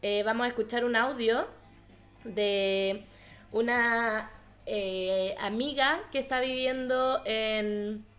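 A woman's voice: fairly high pitch, steady tone, slow, fairly bright tone, faint music behind. A Chilean woman hosting a podcast in a casual, chatty style. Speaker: podcast host. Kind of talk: casual chat.

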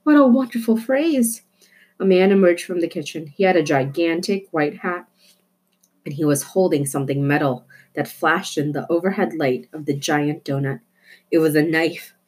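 A woman reading a children's novel aloud as an expressive storyteller. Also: low voice, normal speed, breathy edge. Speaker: storybook reader. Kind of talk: expressive children's book narration.

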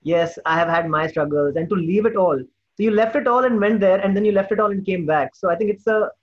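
High, husky male host, muffled. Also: fairly quick. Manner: affirming, effusive. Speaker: podcast host. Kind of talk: casual interview chat. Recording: muffled, dull lo-fi audio.

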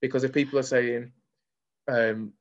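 Casting: young Mancunian male, candid interview guest, conversational delivery, mid-pitched voice on muffled lo-fi audio. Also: little pitch movement, unhurried. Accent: Manchester. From Manchester, England.

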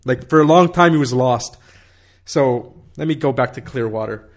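A man's voice: medium pitch, normal speed. A man in his thirties telling a long story in casual conversation, rambling with many fillers, swearing and laughing.

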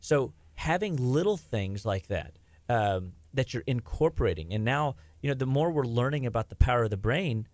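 A man's voice: medium pitch, lively intonation, moderate pace, darkish tone, no room sound. A middle-aged man, a health practitioner, talking in a casual rambling way with lots of restarts and fillers. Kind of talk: casual podcast chat.

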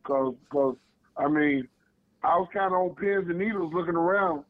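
A man, co-host of a casual chat show, talking with a voice gone hoarse, telling stories emphatically. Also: a little high, moderate pace. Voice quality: gravelly. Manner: storytelling, emphatic. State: losing his voice. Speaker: podcast co-host. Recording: tinny phone-like line, dry.

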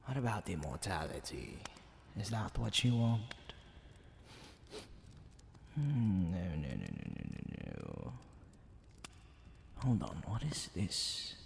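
Male actor as demon king, low drawl, swooping pitch, smug, flirtatious, lazily menacing, theatrical ASMR roleplay, cavernous reverb. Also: wildly swooping pitch, vocal fry, exaggerated voice. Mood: sly coaxing. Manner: theatrical, drawn-out, teasing delivery. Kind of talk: ASMR roleplay monologue addressed to the listener. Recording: long hall reverb, background hiss and ambience.

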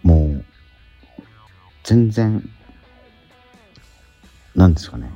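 A middle-aged man chatting casually as a radio host, animated but sometimes halting and reflective. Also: low voice, muffled recording, faint music behind. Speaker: radio host and theatre actor. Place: theatre auditorium during rehearsal.

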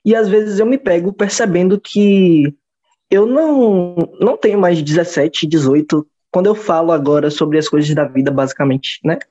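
A man's voice: sits high, normal speed, warm tone. Brazilian guy in his 20s, podcast chat, kinda rambly, dull muffled sound. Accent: Brazilian Portuguese. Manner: reflective, rambling with restarts. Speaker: podcast host.

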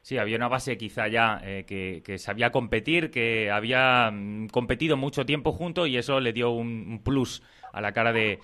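A man's voice: mid-range voice, normal speed, sharp tone. A man co-hosting a radio sports show, giving background and asking long, explanatory questions. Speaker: radio co-host.

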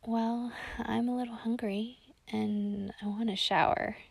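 A woman voicing an affectionate young woman in a soft, breathy, eager whisper. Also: a little high, flat delivery, a little slow, no echo, exaggerated voice.

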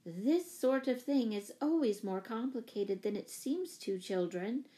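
A woman voicing a sensible, kindly mother in a children's storybook, serious, measured and firm. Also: fairly high pitch, lively intonation, darkish tone, natural voice. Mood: troubled, serious.

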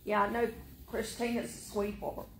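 A woman co-hosting a casual chat, warm and quick to laugh. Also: mid-range voice, little pitch movement. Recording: echoey room with faint noise.

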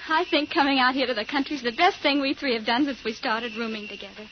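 A woman's voice: high voice, fast talker, lightly acted. An actress playing a timid, dreamy young woman who speaks hesitantly, in an old radio drama. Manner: hesitant, breathless. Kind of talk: 1940s radio acting. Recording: dull old radio recording with faint noise.

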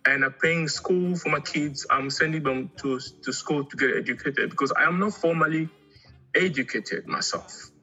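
Angolan-born guy, kinda high husky voice, stops and repeats words a lot, faint music behind. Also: pushed and bright, highs cut off. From Angola, raised in Congo. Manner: halting, repeats words often. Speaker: artist, guest. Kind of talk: casual interview talk.

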